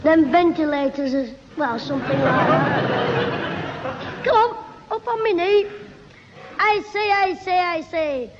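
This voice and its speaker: A man's voice: very high voice, slow pace, long pauses, crowd noise. A man playing a cheeky, scheming English schoolboy with a Lancashire accent in a 1960s radio comedy, full of wheedling backchat. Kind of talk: 1960s radio sitcom acting. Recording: reverberant, muffled, hissy 1960s radio recording.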